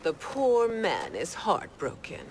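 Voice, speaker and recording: A regal, cruel villainess in a video game cutscene. She taunts haughtily in a lowish voice, drawing words out slowly with swooping intonation. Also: huge pitch swings, very noisy, exaggerated voice. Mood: mocking. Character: sadistic regal villainess, coldly mocking.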